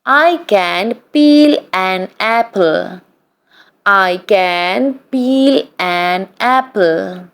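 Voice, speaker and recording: Very slow, deliberate woman reading drills. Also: mid-range voice, expressive, fairly bright tone. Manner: deliberate, evenly spaced, each sentence read twice. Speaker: English practice reader. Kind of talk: slow read-aloud drill of practice sentences.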